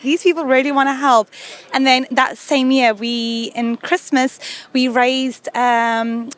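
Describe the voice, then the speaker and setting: A lively woman with a high voice, recounting her group's fundraising with animated energy. She talks casually, as in an interview. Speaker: community co-founder.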